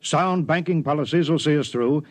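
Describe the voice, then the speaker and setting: An actor playing a fussy 1930s bank officer in a radio drama, chattering, repetitive and obsequiously polite. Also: male voice, a little high, moderate pace, no room sound, natural voice. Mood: eagerly reassuring. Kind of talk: 1950s radio drama acting.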